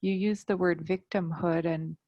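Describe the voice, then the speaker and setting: A woman academic making careful, measured comments in an online workshop discussion over a video call. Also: lowish voice, steady tone, no room sound, dull audio. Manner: measured, precise, qualifying her points. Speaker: workshop participant, university researcher.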